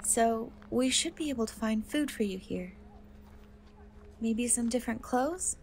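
Woman acting a gentle, slow-spoken half-cat girl. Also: on the high side, lively intonation. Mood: gentle, helpful. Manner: hesitant, soft-spoken, often slipping into whisper. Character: gentle half-cat young woman, kind, timid, reassuring. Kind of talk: dark fantasy ASMR roleplay, soft intimate acting. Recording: noticeable background noise and ambience.

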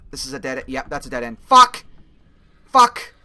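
Young guy on a headset doing game commentary, bit raspy, bright voice, gets loud and swears when he messes up. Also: medium pitch, moderate pace. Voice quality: slightly raspy. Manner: excitable, swears and yells when he fails. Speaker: gaming video creator. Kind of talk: casual let's-play commentary. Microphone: headset mic.

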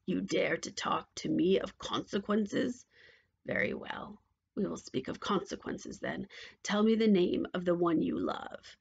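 A woman voicing a cold, menacing storybook witch in a slow, hushed, breathy, creaky voice. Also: lowish voice, muffled recording, full character voice. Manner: slow, drawn-out, dismissive. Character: cold, menacing witch counting her gold.

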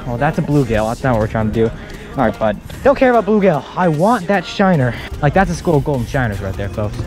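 A young man hosting a fishing vlog outdoors, talking excitedly to a handheld camera as he narrates each catch. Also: medium pitch, quick pace, faint music behind. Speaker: fishing vlogger host. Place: outdoors by a pond and city river.

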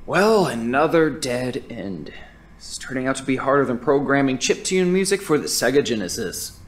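A man's voice: mid-range voice, a bit bright, a little hiss or hum, close to an everyday voice. Actor as a flustered, nerdy young man. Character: flustered, nerdy young male editor living with mother. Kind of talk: audio drama, spoken recorded monologue.

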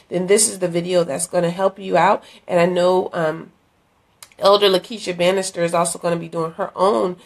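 A middle-aged woman, hoarse from a cold, chatting earnestly into a phone at arm's length in a dim room, inviting viewers to an event. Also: lowish voice. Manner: chatty, earnest, with many fillers and restarts. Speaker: ministry leader promoting an event. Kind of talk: casual live-stream invitation. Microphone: phone held at arm's length.

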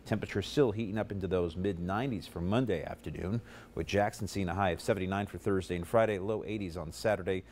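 A man in his thirties giving a live TV weather forecast in a studio, brisk and conversational, running through numbers steadily on a lapel mic. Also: deep voice, darkish tone, a little hiss or hum. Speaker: TV meteorologist. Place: TV studio, in front of a weather map.

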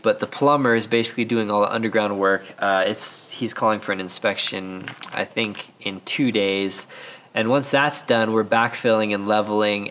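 A man's voice: on the low side, fairly bright tone, slight background noise. A man in his thirties, a bit nasal and stuffed up, chatting candidly to camera as a channel host, with many restarts, inside a half-built house. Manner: rambling, candid, frequent restarts. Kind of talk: casual vlog talk to camera. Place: half-built house under construction, partly open.

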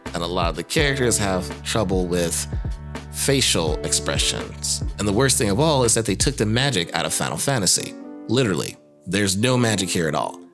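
Lively, wry man over music. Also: lowish voice. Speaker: video host and narrator. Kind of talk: scripted video essay commentary with casual asides. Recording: music under the voice. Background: background music.

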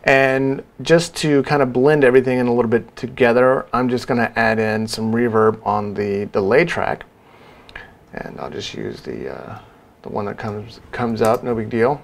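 A man in his thirties giving an informal software tutorial, casually talking through each step. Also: medium pitch, moderate pace, vocal fry. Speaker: sound design tutorial presenter.

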